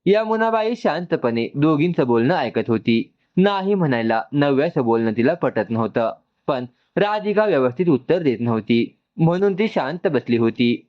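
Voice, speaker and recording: A man's voice: on the high side, expressive, moderate pace, highs cut off. A man reading a scripted story aloud in a dramatic narrating style.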